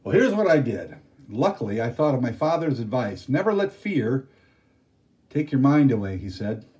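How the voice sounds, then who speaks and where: A man's voice: mid-range voice, moderate pace, close to an everyday voice. A man voicing a dramatic older male storyteller who teases his listeners. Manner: animated, teasing.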